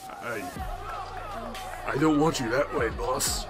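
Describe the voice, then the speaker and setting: A man's voice: medium pitch, slow pace, over loud music, over a noisy crowd, close to an everyday voice. A man voicing a brash, glad-handing gangster underling in a motion comic. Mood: uneasy.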